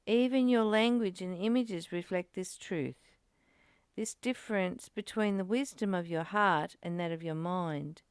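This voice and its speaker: A woman's voice: lowish voice, a little slow, clean tone, dry recording, clean studio sound. A woman speaking earnestly in a reflective spiritual discussion, often repeating phrases as she goes. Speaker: study group host reading and commenting. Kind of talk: reflective spiritual discussion, partly read aloud.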